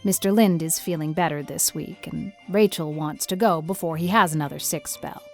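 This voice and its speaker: A woman's voice: low voice, moderate pace, darkish tone, faint music behind. A woman playing a pessimistic, practical older country woman in audiobook dialogue, with a dry, resigned manner. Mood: matter-of-fact.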